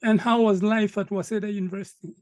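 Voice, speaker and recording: A man hosting an interview, asking short questions calmly and acknowledging answers with frequent murmurs. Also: high-pitched, fast talker, dry recording. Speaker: interview host.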